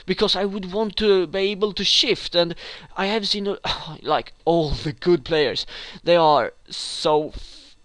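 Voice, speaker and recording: A man's voice: sits high, a little slow, fairly bright tone, no room sound. A young man from Sweden with a Swedish accent, hosting a rambling game tutorial with many hesitations and restarts. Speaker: gaming tutorial host.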